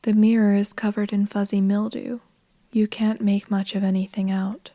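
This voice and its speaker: A woman reading scripted narration for a horror game in a measured, sombre, matter-of-fact manner. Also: mid-range voice, flat delivery, mellow, no echo.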